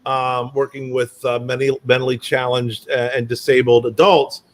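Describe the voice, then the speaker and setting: A man hosting a casual chat and telling lively anecdotes with many restarts. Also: mid-range voice, moderate pace.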